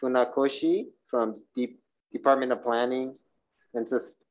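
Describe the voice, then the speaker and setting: A man's voice: medium pitch, measured pace, darkish tone, slight background noise. A man in his forties chairing a legislative hearing from a desk microphone in a hearing room, reading rules and calling testifiers with informal asides. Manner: informal asides, stumbles over names. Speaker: committee chair running a public hearing. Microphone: desk microphone on a stand.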